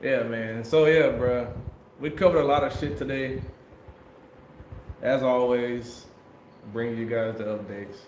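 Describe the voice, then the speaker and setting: A man's voice: medium pitch, steady tone, unhurried, long pauses, a little mellow. Slangy American man livestreaming. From United States. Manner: slangy, laid-back, laughs often. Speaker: livestream host. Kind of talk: casual livestream chat. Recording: echoey room with faint noise.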